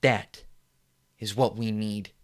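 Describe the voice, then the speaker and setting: An actor playing a furious middle-aged TV newsman who delivers an impassioned on-air rant that repeats itself and builds to yelling. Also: lowish voice, fairly even intonation, slow. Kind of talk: film monologue.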